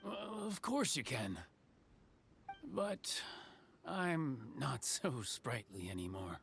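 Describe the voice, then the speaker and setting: A man's voice: mid-range voice, sing-song, slow pace, gravelly, a bit bright, a little hiss or hum, exaggerated voice. A man playing a friendly, modest elderly carpenter in a video game, with a folksy, hesitant manner. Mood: plain, resigned. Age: older. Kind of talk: video game cutscene acting.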